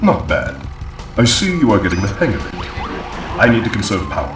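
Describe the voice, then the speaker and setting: Pompous video game villain, scheming mad scientist, theatrical delivery, low swooping pitch, music behind. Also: male voice, huge pitch swings, fairly quick. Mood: businesslike. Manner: theatrical, pompous, exasperated outbursts. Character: scheming, grandiose mad scientist villain, middle-aged man. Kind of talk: video game cutscene voice acting. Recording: roomy, distant, music underneath.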